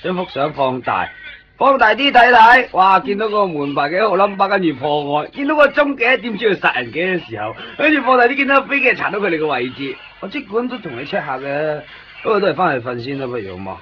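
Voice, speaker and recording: A man's voice: sits high, sing-song, fast talker. A chatty, fussing Hong Kong man. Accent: Hong Kong Cantonese. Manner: talkative, fussing and coaxing. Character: chatty Hong Kong man living in Japan, fussy guide. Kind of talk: 1990s radio drama acting. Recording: dull lo-fi radio broadcast with music.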